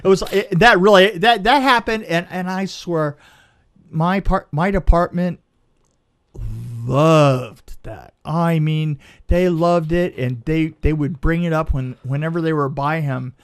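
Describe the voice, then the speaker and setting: A middle-aged man telling a casual anecdote in an emphatic, halting way, repeating phrases and checking in with the listener. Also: a little high, clear, resonant tone. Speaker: podcast guest, film crew veteran.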